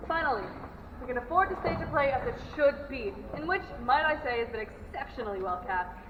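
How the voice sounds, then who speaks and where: A woman's voice: high-pitched, lively intonation, fairly quick. A young woman acting as a proud, flustered drama society director giving a formal, theatrical stage speech. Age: teen or young adult. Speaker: student actor. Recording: echoey noisy hall, distant muffled mic.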